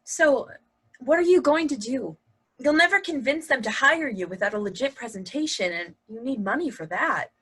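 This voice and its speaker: A woman playing a supportive, dryly humorous wife with a husky voice in a table read. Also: high voice, lively intonation, normal speed, fairly bright tone, full character voice. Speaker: actor in a virtual table read. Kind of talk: stage comedy-drama table read.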